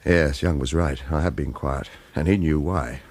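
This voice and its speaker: An actor playing a gruff, sardonic ex-agent held captive in a radio thriller, terse and husky. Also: male voice, very deep voice, moderate pace, a little mellow, no room sound. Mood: brooding narration. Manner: terse, hesitant under pressure, sardonic.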